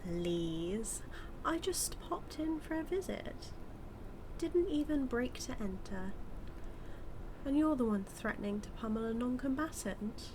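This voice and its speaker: A woman's voice: fairly high pitch, animated, noisy background. A woman with a British accent acting as a smug female supervillain who mocks and teases her hero nemesis in a theatrical, drawling audio roleplay monologue. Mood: taunting, playful. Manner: mocking, theatrical, drawling with drawn-out words.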